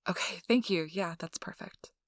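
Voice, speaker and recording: A woman's voice: lowish voice, moderate pace, dry recording, studio quality, lightly acted. A woman playing a chatty, officious and reassuring interviewer at a vampire blood donation center in an ASMR role-play. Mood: polite, businesslike.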